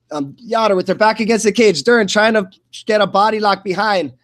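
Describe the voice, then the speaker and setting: Male play-by-play sports commentator, high register, blow-by-blow delivery with frequent stumbles and repeats. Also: a bit bright. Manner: halting, repeats words and stumbles mid-phrase.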